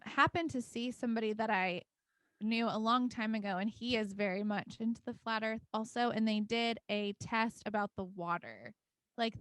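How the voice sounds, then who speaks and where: A woman's voice: medium pitch, a bit bright, no echo. A woman chatting casually in an interview, hesitant and leaning heavily on filler words. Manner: hesitant, filler-heavy, uses "like" often.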